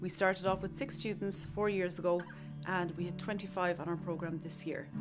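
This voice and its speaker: A woman's voice: lowish voice, little pitch movement, normal speed, over loud music. A woman speaking for an exchange program in an upbeat promotional voice-over. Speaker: program representative.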